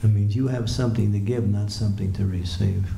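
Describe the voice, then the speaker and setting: A man giving a relaxed, conversational morning lecture in a temple hall, chuckling as he teaches. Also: lowish voice, a little fast, vocal fry. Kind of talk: informal spiritual lecture. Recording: echoey temple room, faint hum.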